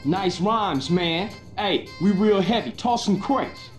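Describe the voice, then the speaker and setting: A weary young gangster, sounding tired of his friend and complaining in easy street slang. His voice sits high and moves around a lot. Echoey game audio with music underneath. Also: expressive, slow pace. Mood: tense. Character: weary young male gangster, the level-headed homie. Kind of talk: video game cutscene acting.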